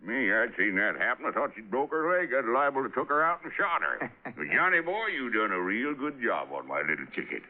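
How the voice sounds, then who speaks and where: A man's voice: medium pitch, very animated, brisk, few pauses, narrow, tinny audio, a little hiss or hum, close to an everyday voice. An actor playing a rowdy, boastful old man of the rural American West in a 1950s radio drama, with a folksy, blustering drawl. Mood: gruff, folksy. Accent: rural Western American. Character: rowdy old backwoods man, boastful, trigger-happy, jovial. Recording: band-limited vintage radio recording.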